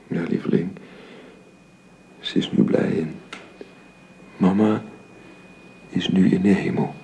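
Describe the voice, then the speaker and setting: A newly widowed father in a radio play. He is very deep and creaky, speaking gently and haltingly through his grief. The recording carries faint noise. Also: steady tone, exaggerated voice. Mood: gentle, sorrowful. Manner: hesitant, halting.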